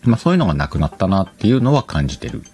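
A man's voice: low voice, big pitch swings, rapid-fire, dark tone, a little hiss or hum. A man hosting a solo podcast in a casual chat, playfully talking to himself and correcting himself as he goes. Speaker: podcast host. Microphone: external microphone, recorded on a computer.

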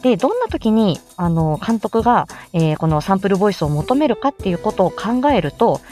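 Animated woman explaining things over music. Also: medium pitch, fairly quick. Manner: chatty, explanatory, greets listeners by name. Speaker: presenter teaching how to make voice samples; speech therapist and amateur voice actor. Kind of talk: informal instructional audio livestream.

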